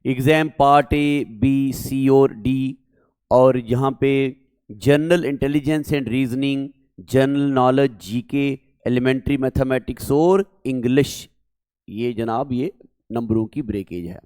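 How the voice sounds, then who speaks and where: A male coaching teacher with a sore throat, speaking slowly and deliberately as he walks students through exam details in Hindi. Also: mid-range voice, darkish tone, clean tone, no echo. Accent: North Indian Hindi. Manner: slow, deliberate, addresses listeners as dear children. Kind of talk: exam information lesson to students.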